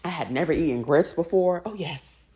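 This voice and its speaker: Low-pitched woman giving candid commentary. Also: moderate pace, warm tone. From United States, raised in a Jamaican household. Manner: candid, opinionated, laughs easily while talking. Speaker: TV recap show host. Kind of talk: casual chatty commentary to viewers.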